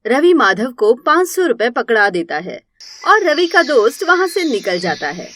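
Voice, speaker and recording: A woman's voice: on the high side, sing-song, normal speed, fairly bright tone, a little hiss or hum. A woman narrating a scripted story.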